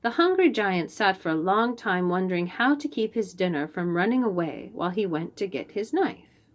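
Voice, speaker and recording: A woman reading a storybook aloud for young children, expressive and deliberate, stressing key phrases. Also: mid-range voice.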